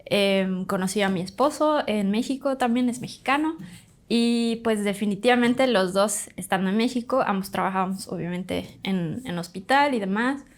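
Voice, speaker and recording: A woman in her thirties, a doctor from Bolivia, telling her migration story in a chatty, animated way as a podcast guest. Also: medium pitch, normal speed. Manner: chatty, animated, fond of "pues" and "literal". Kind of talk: casual interview storytelling.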